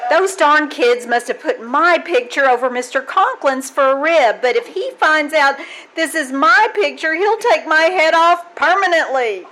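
Woman as wry schoolteacher with a high-pitched voice. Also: animated, unhurried, pushed and bright, some chatter behind, exaggerated voice, rough recording. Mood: dry, wry. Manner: dry, wisecracking, apologetic to her boss. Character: wry, quick-witted female schoolteacher. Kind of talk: old-time radio comedy acting on stage. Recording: live stage recording, background noise.

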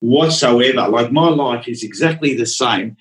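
A man's voice: medium pitch, measured pace. Rambling man in his fifties. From Australia. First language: Dutch. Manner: rambling, hesitant, often starts with "look". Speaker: guest painter. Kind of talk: casual interview chat.

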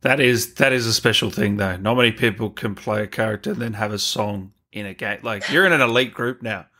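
A man's voice: on the low side, brisk, pushed and bright. A man hosting a casual interview, informal and enthusiastic, reacting eagerly to his guest. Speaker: interviewer, host.

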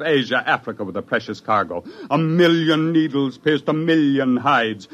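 A man acting an excitable scientist husband who tells his own story in a 1950s radio drama, with dramatic, wry delivery. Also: mid-range voice, close to an everyday voice. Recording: dull 1950s radio recording, music behind.

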